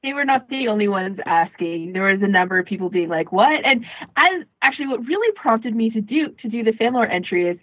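A woman co-hosting a podcast in casual chat, often interrupting herself as she tells things in an animated way. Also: on the high side, brisk, husky, no room sound, phone-quality sound. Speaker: podcast co-host.

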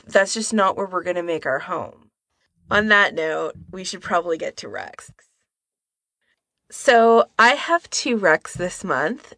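A woman co-hosting a podcast in a casual discussion, with a creaky voice. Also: medium pitch, a bit bright, no echo, clean studio sound. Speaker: podcast co-host.

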